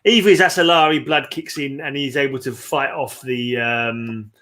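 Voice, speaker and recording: Man, mid-pitched voice, casual book chat, musing, tentative, repeats himself. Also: steady tone, normal speed, fairly bright tone. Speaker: co-host of a book read-along.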